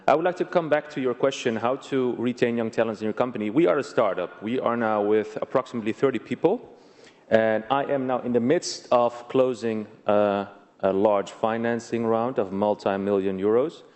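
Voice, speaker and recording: A man from the Netherlands with a Dutch accent, speaking as an entrepreneur on a panel in short, deliberate, emphatic phrases. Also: fairly low pitch, a little mellow. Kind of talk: conference panel talk. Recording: echoey hall.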